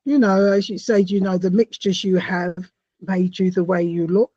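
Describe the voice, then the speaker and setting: Woman podcast host from South America, halting speech with restarts, husky voice, dry muffled sound. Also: medium pitch, dry recording. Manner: halting, repeats words, animated exclamations. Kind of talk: conversational interview.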